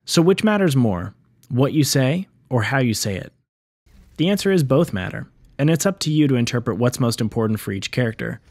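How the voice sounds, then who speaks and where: A man delivers scripted explainer narration as a video host. His pitch is mid-range for a man. The sound is dry, with no room to it. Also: animated, a little fast, a little mellow, dry recording. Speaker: video essay host on game sound design.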